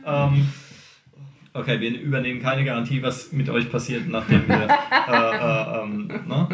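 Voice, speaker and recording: A man with a mid-pitched voice hosts a relaxed group podcast. He explains things colloquially, with a joking streak, like a singing teacher. The room is audibly echoey. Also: a little slow, a crowd in the background. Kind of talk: casual podcast group chat. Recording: roomy, echoey room sound.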